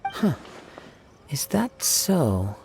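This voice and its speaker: A man voicing a calm, polite, modest young wandering samurai in a video game, in a reflective manner. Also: a little high, very slow, gravelly, soft background music, full character voice. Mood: measured, probing. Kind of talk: video game character acting.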